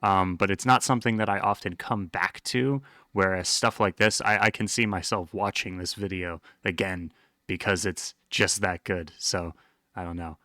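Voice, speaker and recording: A man's voice: low voice, steady tone, moderate pace, sharp tone, dry recording, clean studio sound. A man giving casual reaction commentary, rambling enthusiastically in informal slang. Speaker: host reacting to a horror web series.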